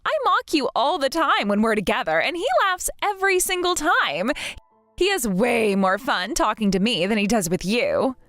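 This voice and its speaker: A woman in her late twenties voicing a spiteful, bratty younger sister with a high, sneering, mocking voice. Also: very animated, normal speed, fairly bright tone, dry recording, studio quality, faint music behind. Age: late 20s.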